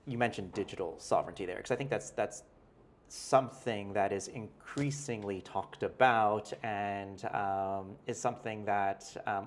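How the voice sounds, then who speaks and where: A male professor, a guest in an interview, explaining ideas in a thoughtful, hedging way with frequent "right?" tags and restarts. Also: on the low side. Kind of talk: conversational interview.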